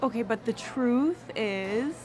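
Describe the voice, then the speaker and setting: Brash, flirtatious seductress. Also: female voice, on the high side, expressive, takes it slowly, darkish tone. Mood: impatient, amused. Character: brash, seductive woman, worldly former Vegas dancer on a date. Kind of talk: radio drama acting.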